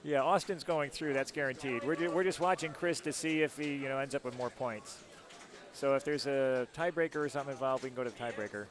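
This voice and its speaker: Guy from rochester explaining pinball rules play by play, loud crowd behind him. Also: medium pitch, fast. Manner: explanatory, rule-focused play-by-play. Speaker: pinball commentator. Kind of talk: live tournament commentary. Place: tournament hall. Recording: noisy crowd in the background.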